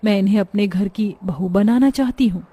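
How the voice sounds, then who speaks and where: A woman voicing a kind, well-off older mother in a cartoon story, gentle and sincere. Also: medium pitch, normal speed, husky, dry recording, pitched above the performer's own voice, lightly acted. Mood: grateful. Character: kind, grateful rich older mother seeking brides.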